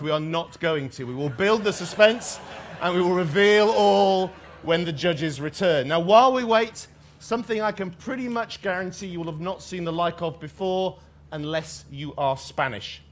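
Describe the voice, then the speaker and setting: A man hosting a live science contest in front of an audience, with dry jokes and playful asides. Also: high voice. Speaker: host. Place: large hall with audience.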